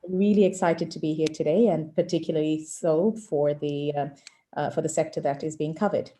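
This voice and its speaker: Low, mellow-voiced woman from southern Africa. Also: fairly even intonation, normal speed. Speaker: angel investor on a pitch panel. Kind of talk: self-introduction on an online panel.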